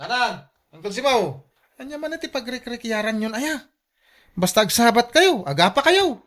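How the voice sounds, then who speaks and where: A young grandson in a family radio drama. His voice is high for a male, with an Ilocano accent. He sounds concerned and questions his elders. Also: sing-song, moderate pace, a bit bright, full character voice. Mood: concerned, questioning.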